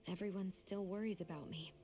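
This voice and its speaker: A woman's voice: fairly low pitch, fairly even intonation, fairly quick, close to an everyday voice. An actress playing a guarded but vulnerable young woman in a fantasy video game, speaking sincerely and confessionally. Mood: wistful. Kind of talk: video game English voice acting. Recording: music behind the voice. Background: game music.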